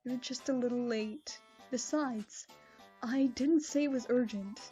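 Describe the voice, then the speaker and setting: A calm, regal pony princess from a fan cartoon audio drama, voiced by a woman, high-pitched yet mellow. She speaks gently and reassuringly. The recording sounds slightly dull and lo-fi, with faint music underneath. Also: measured pace, lightly acted.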